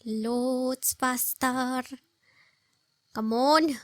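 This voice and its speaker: A woman giving casual, expressive, rambling reaction commentary over a video game. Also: sits high, very slow, no echo. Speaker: gameplay streamer, commentator.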